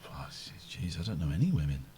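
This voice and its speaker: A man co-hosting a live chat show, joking and teasing his guest in a casual, animated way. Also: extremely low pitch, a little fast, slight background noise. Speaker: co-host.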